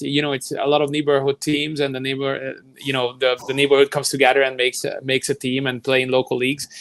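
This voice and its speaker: A man's voice: medium pitch, a bit bright, no echo. A man in his thirties from Hungary with a Hungarian accent, a youth soccer director answering interview questions in a thoughtful way, with many fillers and restarts. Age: 30s. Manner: thoughtful, explains at length with frequent fillers and restarts. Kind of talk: live interview conversation.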